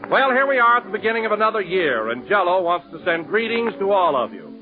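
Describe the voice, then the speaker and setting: A man working as the announcer on a 1930s radio comedy show, speaking in a booming, formal announcer style. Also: sing-song, quick pace, over music. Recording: tinny 1930s radio broadcast recording.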